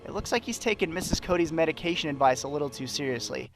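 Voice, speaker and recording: A man's voice: fairly high pitch, animated, fast, poor audio. A man with an American accent giving scripted television news reports.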